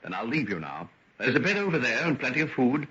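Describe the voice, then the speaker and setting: An actor playing a genteel, evasive gentleman doctor, hesitant, polite and earnest, in an old-time radio drama. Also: medium pitch, sing-song, fast. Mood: apologetic. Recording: dull, lo-fi vintage radio recording.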